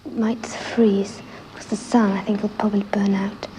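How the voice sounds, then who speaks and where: A young girl answers an interviewer softly. Her pitch stays even, and there is clear noise on the 1960s archival recording. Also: normal speed, poor audio. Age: child or teenager. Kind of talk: soft-spoken interview answer.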